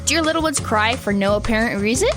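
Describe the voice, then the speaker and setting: A woman reads a scripted comic commercial as its announcer. Her pitch is high and swings a lot, with music clearly playing behind her. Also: lively intonation, fast talker. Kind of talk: scripted tongue-in-cheek ad read.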